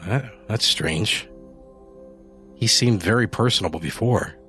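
Grief-stricken mother, shrill and desperate; a man reads her in a slow storybook voice. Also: sharp tone, soft background music. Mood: shaken. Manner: pleading, high and shrill. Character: grief-stricken woman, frantic, clinging to hope.

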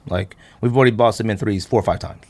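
30s dude podcast host, lowish voice, thinks out loud, slangy and rambly. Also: male voice, fast. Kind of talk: casual chat about sneakers.

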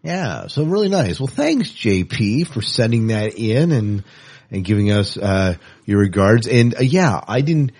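A man's voice: fairly low pitch, sing-song, unhurried, a little mellow, husky. A man co-hosting a podcast in casual, conversational chat, often repeating phrases for emphasis. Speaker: podcast co-host.

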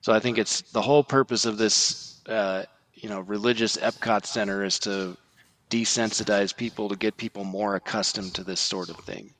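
A man giving earnest podcast commentary in a measured, explanatory way with formal wording. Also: on the low side, steady tone, a bit bright, no echo.

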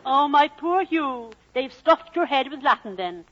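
An actress playing a plain-spoken, indignant, loving Welsh mother with a husky voice in an old radio drama. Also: high voice, animated, measured pace, a bit bright. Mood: scornful, fond. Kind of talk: 1940s-50s radio drama acting. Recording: dull old radio recording.

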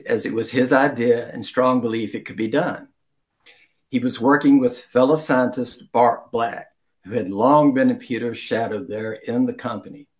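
A man reading a children's story aloud as an amateur storyteller, deliberately, with some stumbles and throat-clearing. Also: mid-range voice.

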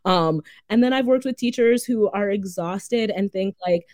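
A woman's voice: mid-range voice, normal speed, darkish tone, no room sound, clean studio sound. A woman hosting a public radio talk show. She speaks in a gracious, conversational way. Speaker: radio host. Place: radio studio. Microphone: studio mic.

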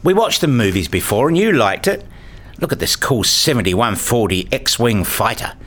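A man narrating a first-person radio story in a measured, reflective way, also voicing the father's lines. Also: mid-range voice, lively intonation, fairly bright tone. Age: middle-aged. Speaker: narrator and lead actor playing a father.

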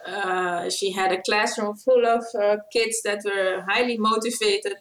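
A middle-aged woman, a native Dutch speaker with a Dutch accent, giving earnest, explanatory interview answers with hesitant pauses. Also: medium pitch, fairly even intonation, crowd noise. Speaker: interview guest, co-founder of a school language programme. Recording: echoey room sound.

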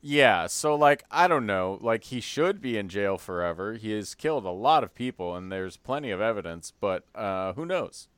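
A man co-hosting a podcast in casual chat, wry and dry-humored, often trailing off quietly. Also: on the low side, animated, normal speed, few pauses, clear, resonant tone, no room sound. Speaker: podcast co-host.

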